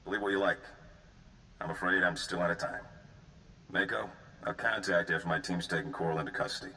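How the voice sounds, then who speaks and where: A man's voice: deep voice, a little fast, pushed and bright, slight background noise, low-quality recording. A man voicing a businesslike intelligence agent in a video game, formal and clipped, with an urgent manner. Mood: brusque, grave. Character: brisk, businesslike male intelligence agent giving warnings.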